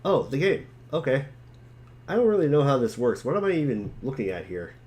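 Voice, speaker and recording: A man's voice: medium pitch, very animated, brisk, darkish tone. A man co-reading an amateur webcomic dramatic reading, giving commands and character lines. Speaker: co-reader.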